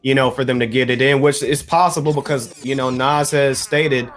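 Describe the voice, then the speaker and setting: A man co-hosting a podcast, explaining things in a measured, casual way. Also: mid-range voice, steady tone, fairly bright tone. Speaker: podcast co-host. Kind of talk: casual panel discussion.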